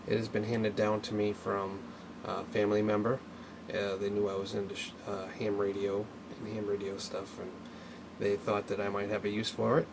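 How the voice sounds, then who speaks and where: A man giving a casual, unscripted hands-on tutorial, talking haltingly as he thinks aloud while working. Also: on the low side, monotone, vocal fry, noisy background. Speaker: hobbyist presenter of a radio repair video.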